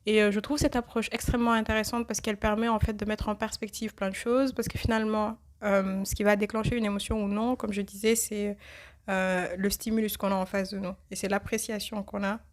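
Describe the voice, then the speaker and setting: A woman with a cold hosting a reflective religious podcast, speaking thoughtfully and pausing often with fillers. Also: medium pitch, little pitch movement. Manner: hesitant, many filler pauses. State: has a cold. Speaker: podcast host.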